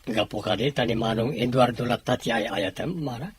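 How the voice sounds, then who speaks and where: A man's voice: medium pitch, moderate pace, slight background noise, natural voice. A man playing a remorseful younger brother, a reformed gambler, in an Ilocano audio drama. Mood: concerned.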